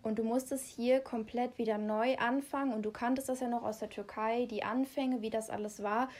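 A woman with a somewhat high voice, conducting an interview. She asks long, careful questions and sums up her guest's answers. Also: fairly even intonation, brisk, a little mellow.

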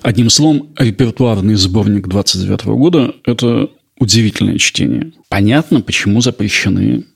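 A man's voice: lowish voice, expressive, brisk, creaky, breathy edge. A man hosting a history podcast, narrating in an explanatory way with rhetorical questions. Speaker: podcast host.

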